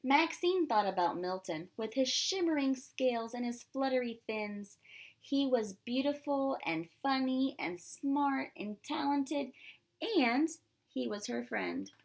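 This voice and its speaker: A woman's voice: sits high, expressive, slow, gravelly. A woman reading a picture book aloud as a pre-K teacher, expressively, with simple asides to young children.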